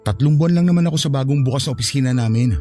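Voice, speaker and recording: Casting: man as placating young husband for radio drama, mellow mid-range voice, brisk delivery. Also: mid-range voice, soft background music, lightly acted. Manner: conciliatory, reassuring. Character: easygoing, placating young husband and dutiful son. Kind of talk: Filipino radio drama acting.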